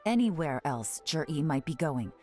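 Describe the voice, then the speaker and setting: An actress playing a calm, cunning female spymaster who gives orders to her agents. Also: sits low, moderate pace, soft background music. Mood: commanding. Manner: calm, sly and commanding. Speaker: commander of agents. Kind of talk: game voice acting.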